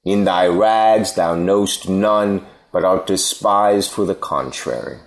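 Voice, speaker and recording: An actor playing a cynical, needling Athenian philosopher in a Shakespearean audio play, deliberate and mocking. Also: male voice, low voice.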